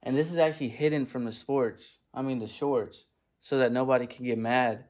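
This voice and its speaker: A man's voice: mid-range voice, fairly even intonation, a little mellow. Young man vlogging from a print shop. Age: 20s. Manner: hurried, chatty, talking while working. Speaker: screen printing business owner. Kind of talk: casual vlog to camera. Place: home basement print shop. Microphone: phone at arm's length.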